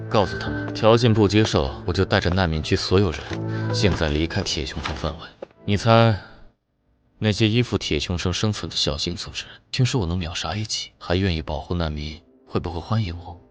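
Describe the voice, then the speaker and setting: A man voicing a hardened, defiant, world-weary young ex-soldier in an animated comic drama, speaking in terse, unhurried, commanding lines. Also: deep voice, lightly acted. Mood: firm, demanding. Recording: music behind the voice. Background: dramatic music.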